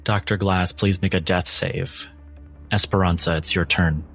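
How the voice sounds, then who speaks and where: A man's voice: low-pitched, fairly even intonation, unhurried, gravelly, a bit bright. A man narrating a tabletop role-playing game as game master, in a dramatic, descriptive way. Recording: background music under the voice.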